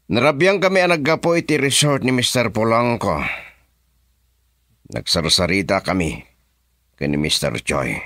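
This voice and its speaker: A man's voice: on the low side, expressive, moderate pace, pauses often, fairly bright tone, dry recording, studio quality. A man with a Filipino accent acting a scheming, commanding male boss in a radio drama. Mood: defensive, curt.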